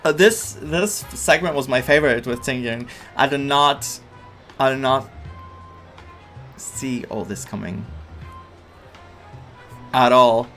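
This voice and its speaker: Male game streamer, casual and excitable commentary, mid-pitched, music behind. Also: slow pace, pauses often. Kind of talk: casual let's play commentary.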